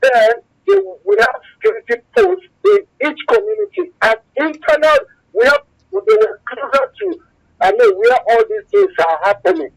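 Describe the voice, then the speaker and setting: High-pitched, animated Nigerian male radio caller. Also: normal speed, gravelly, low-quality recording. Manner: emphatic, repetitive, searching for words. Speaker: phone-in caller. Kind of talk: opinionated radio call-in talk.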